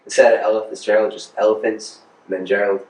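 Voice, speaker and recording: A man's voice: on the low side, level tone, fast talker, recorded from a distance. An American man in his thirties chatting casually in an interview as a music producer, informal, slangy and rambling. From Rhode Island, United States. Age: 30s. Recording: roomy, echoing, off the mic.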